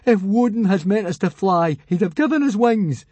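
Guy doing a scared whiny viking kid, high pitched, storybook. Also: lively intonation, normal speed, warm tone, no room sound. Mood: fretful. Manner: whiny, frightened, complaining. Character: anxious, timid young Viking boy.

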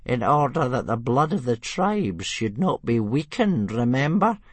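A man voicing a stern old male village elder in a storybook reading, his voice thin and high. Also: sing-song, unhurried, slightly rough, no room sound.

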